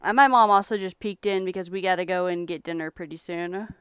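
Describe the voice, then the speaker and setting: Chatty young woman, crisp and dry. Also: lowish voice, fairly quick, no echo. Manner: chatty, rambling, relaxed. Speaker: streamer reselling thrift finds. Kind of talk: casual live-stream chat.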